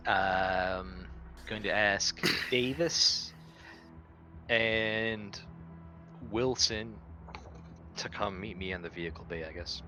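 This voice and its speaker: A man's voice: fairly low pitch, takes it slowly, pauses often, pushed and bright, low-quality recording. A man playing a tabletop roleplaying game in casual chat, blunt and bossy, swearing freely. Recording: music under the voice.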